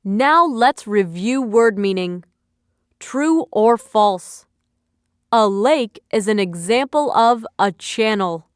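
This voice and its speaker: Female instructor for scripted review material: clean, resonant tone, unhurried deliberate phrasing. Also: fairly high pitch, expressive, smooth, no echo, clean studio sound. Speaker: quiz narrator, instructor. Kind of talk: scripted educational narration.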